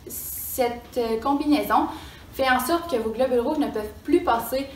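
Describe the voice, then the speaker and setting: A woman's voice: sits high, normal speed, slight background noise, lightly acted. A young woman playing a doctor in a school science skit, explaining with hesitant fillers and self-corrections. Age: young adult. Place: mock exam room. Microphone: far camera. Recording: echoey room from far off.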